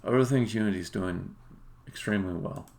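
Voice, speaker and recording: A man's voice: on the low side, normal speed, creaky, darkish tone. A man streaming live coding as a game developer, talking casually and bluntly in unscripted, sometimes sweary fragments as he thinks aloud. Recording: faint background noise, keyboard clicks.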